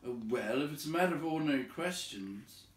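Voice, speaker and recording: A man's voice: on the high side, expressive, brisk, pitched above the performer's own voice, close to an everyday voice. A man voicing a plucky, exasperated little male adventurer in a storybook, calling out insistently. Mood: persistent. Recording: echoey room, distant from mic.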